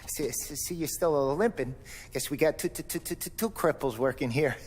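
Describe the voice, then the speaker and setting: A man's voice: on the high side, expressive, moderate pace, breathy edge, big-room sound. A man playing a twitchy, good-natured American garage mechanic with a heavy stammer in a video game cutscene. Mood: teasing. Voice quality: heavy stammer, repeating syllables and words.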